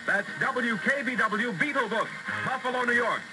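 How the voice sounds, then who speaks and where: A man hosting as a 1960s Top 40 radio DJ, excitable and hammy, given to comic complaining, on an old AM radio recording. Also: very high-pitched, normal speed, very noisy. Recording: old AM radio aircheck over loud music. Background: loud music.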